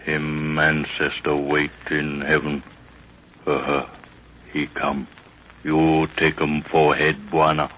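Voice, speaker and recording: A man's voice: very deep voice, completely flat delivery, slow, a bit bright, heavily acted. A man playing a loyal, stoic African guide who speaks broken pidgin English in short phrases, in 1930s radio acting style. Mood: stoic, watchful. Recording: dull, noisy 1930s radio recording.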